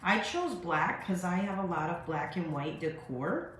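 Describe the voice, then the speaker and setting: A woman's voice: fairly low pitch, lively intonation, measured pace, fairly bright tone. A woman in her forties, a little stuffed up, chatting her way through a casual craft tutorial at her kitchen counter, full of fillers and asides. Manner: chatty, filler-heavy, friendly how-to talk. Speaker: craft demo host. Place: home kitchen, indoors. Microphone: phone camera on a stand at the counter. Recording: echoey kitchen with faint ambient noise.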